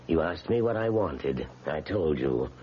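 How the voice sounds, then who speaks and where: An actor playing a smooth, commanding elderly businessman in an old radio drama, measured, coaxing and condescending. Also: a bass voice, expressive, full character voice. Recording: dull, hissy vintage radio recording.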